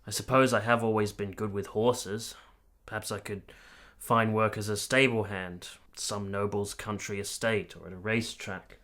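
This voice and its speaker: Bright-voiced, cynical young army captain played by a man. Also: fairly low pitch, steady tone, moderate pace, close to an everyday voice. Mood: wry, resigned. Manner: dry, argumentative, matter-of-fact. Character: cynical, bitter soldier captain in his late twenties, self-deprecating. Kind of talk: period radio drama acting.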